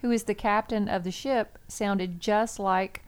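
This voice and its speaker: A woman's voice: mid-range voice, steady tone, unhurried, clear, resonant tone. A woman co-hosting a radio show in casual banter, self-deprecating and talking back in short replies. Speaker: radio co-host.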